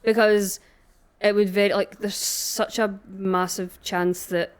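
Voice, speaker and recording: A woman's voice: medium pitch, flat delivery, slow pace, smooth. A woman chatting casually as a podcast panellist, opinionated and emphatic. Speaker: podcast panellist, game shop staff. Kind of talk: casual chat.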